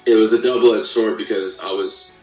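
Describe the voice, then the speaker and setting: A man speaking as an interview guest in casual chat, haltingly rephrasing as he tells his own story. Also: on the low side, steady tone, darkish tone. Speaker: podcast guest, guitarist. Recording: roomy, distant mic, faint music.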